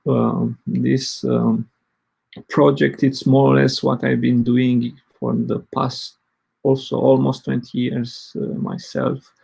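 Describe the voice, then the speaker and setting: Brief: man in his forties from Romania, husky and halting, presenting at a tech conference. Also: mid-range voice, little pitch movement, mellow, poor audio. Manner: hesitant, many fillers. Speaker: open source project lead presenting. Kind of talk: conference talk.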